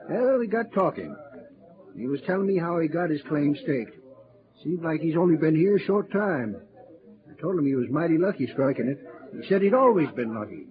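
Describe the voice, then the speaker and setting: An excitable, poker-loving prospector in an old radio western. His American voice sits fairly high and swoops all over in folksy, chuckling talk. The recording is dull, with a saloon crowd behind him. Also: moderate pace. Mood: chatty, curious. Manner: folksy, colloquial, chuckling, animated. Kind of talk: 1940s-50s radio drama acting.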